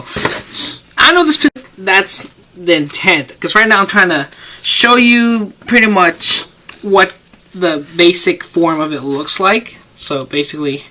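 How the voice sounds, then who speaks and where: A man's voice: a little high, pushed and bright. A man walking through a paper craft step by step, rambling and breaking off his own sentences as he works. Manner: rambling, talks while working, self-interrupting. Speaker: paper-craft tutorial maker. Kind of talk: casual hands-on tutorial. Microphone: camera mic. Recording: noisy home camera audio with clicks.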